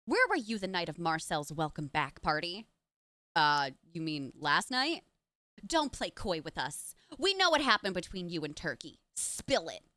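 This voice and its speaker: Girl reading out a peppy sidekick detective, bright voice, bouncy and playful. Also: fairly low pitch, very animated, a little slow, no room sound, voice pushed down, full character voice. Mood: conspiratorial. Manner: reads dialogue aloud, playful dramatic.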